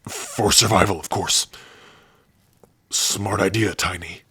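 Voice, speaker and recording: A man voicing a big, gruff but tender orc warrior in an ASMR roleplay, shy and devoted, speaking haltingly and intimately close to the mic. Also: steady tone, no echo, full character voice. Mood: bashful, tender. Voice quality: husky, breathy low voice. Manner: slow, halting, softly intimate. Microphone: close dry microphone.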